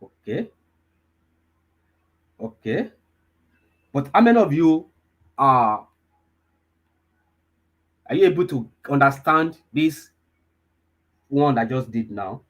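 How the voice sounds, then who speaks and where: A young man walks a live online class through a lesson, his voice husky and a little rough around the edges. He keeps repeating key points and asking if everyone is following, and his pitch sits squarely in the middle range for a man. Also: animated, takes it slowly, pauses often, muffled recording. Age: 20s. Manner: repetitive, checks in with listeners often. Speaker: data analysis instructor.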